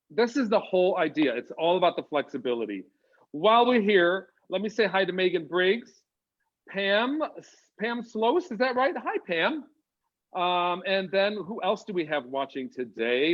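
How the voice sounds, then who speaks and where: A man with an American accent hosts a live product demo, upbeat and chatty as he calls out viewers by name. His voice sits a bit high. The audio is muffled, as if squeezed through a video call. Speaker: wig designer co-hosting a live stream.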